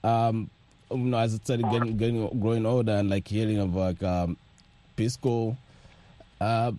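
A man's voice: fairly low pitch, fairly even intonation, normal speed, mellow, no room sound. A man answering casually as a studio interview guest, hesitant and heavy on filler words. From rural Malawi. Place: radio studio.

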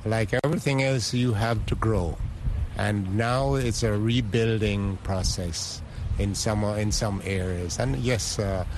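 A man from Jamaica with a fairly low voice gives measured interview answers. He phrases things earnestly and deliberately, with some halts. Faint outdoor urban noise sits behind him. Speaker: interviewed sports fan.

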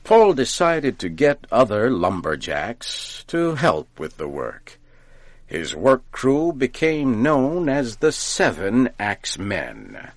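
A man narrating a folk tale from a script, deliberately and with careful enunciation, as if for learners. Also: medium pitch, wildly swooping pitch, no room sound. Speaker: storyteller, narrator.

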